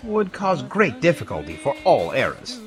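An actor playing an earnest, cautioning scientist professor in an audio drama, explaining things carefully. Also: male voice, medium pitch, huge pitch swings, normal speed, music in the background. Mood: earnest, concerned.

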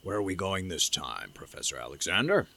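A man's voice: deep voice, sing-song, brisk, creaky, bright, forceful tone, slight background noise, heavily acted. An actor playing an agreeable, trusting male time traveller in an audio drama. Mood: curious, trusting.

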